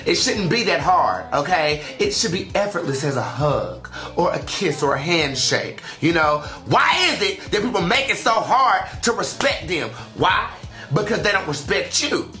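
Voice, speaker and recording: A man hosting a flamboyant talk radio show, sassy and theatrical, sometimes sing-song, his voice slightly raspy from illness. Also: sits high, sing-song, normal speed, sharp tone. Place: radio studio. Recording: echoey room with music behind.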